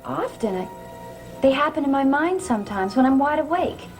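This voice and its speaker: An actress playing a troubled, confused young woman in a gothic soap opera, speaking haltingly and repeating herself. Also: high voice, lively intonation. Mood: frightened, confused. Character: troubled, confused young woman haunted by dreams and lost voices. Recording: vintage TV soundtrack under loud music.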